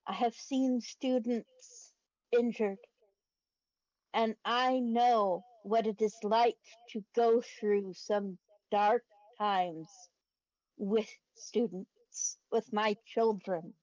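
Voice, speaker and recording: A woman voicing a small, solemn rodent teacher who speaks in measured, formal, fatherly phrases in a tabletop game. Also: a little high, steady tone, darkish tone, no room sound, muffled recording. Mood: solemn, regretful.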